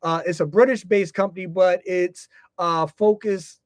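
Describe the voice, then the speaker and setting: A man's voice: high-pitched, steady tone, normal speed, dry recording. An American man talking casually as a podcast host, informal and repetitive, often tagging his phrases with "you know". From United States. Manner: informal, frequently repeats himself and tags phrases with "you know".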